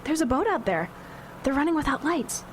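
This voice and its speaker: A woman's voice: high voice, big pitch swings, brisk. An actress playing a sarcastic, headstrong fifteen-year-old girl in a live radio drama, with a dry, teasing delivery. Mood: wry, uneasy. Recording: noisy live radio recording.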